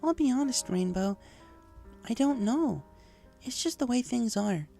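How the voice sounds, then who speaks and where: A woman voicing a calm, soft-spoken mare pony who speaks earnestly and with measured care in a story. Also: fairly high pitch, creaky, voice pushed up. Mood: calm, frank. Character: calm, gently cautioning mare pony recovering from injury. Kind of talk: fan fiction character dialogue. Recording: music behind the voice.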